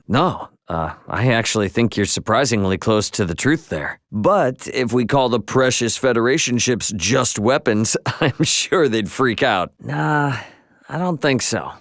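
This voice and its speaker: Animated, easygoing young game hero. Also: male voice, mid-range voice, no room sound, studio quality. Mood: wry. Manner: casual, slangy, teasing, expressive. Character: easygoing, cocky, good-natured young spaceship captain hero. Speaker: video game voice actor. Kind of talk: English video game dialogue acting.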